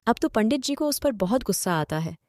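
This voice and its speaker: A woman's voice: fairly high pitch, sing-song, brisk, darkish tone, no echo. Female storyteller, scripted narration, very varied intonation, dry studio-like sound. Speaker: storyteller and channel host.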